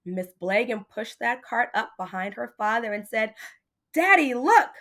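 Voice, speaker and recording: A woman reading a picture book aloud like a teacher, in animated storytelling style, speaking directly to young listeners. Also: medium pitch, normal speed. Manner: addresses child listeners directly, with questions.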